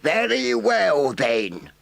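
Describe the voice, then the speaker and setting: Stern, creaky old badger. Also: male voice, a little high, wildly swooping pitch, fairly bright tone, no echo, pitched above the performer's own voice, full character voice. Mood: stern, firm. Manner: firm, scandalized, deliberate. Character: stern, authoritative older male badger. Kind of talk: audiobook character voice.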